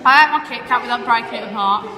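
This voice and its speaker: A young woman with a fairly high voice chats casually. Her words ring in a reverberant hall, with people clearly talking behind her. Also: quick pace. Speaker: pantomime cast member. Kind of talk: casual chat. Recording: reverberant hall, background chatter.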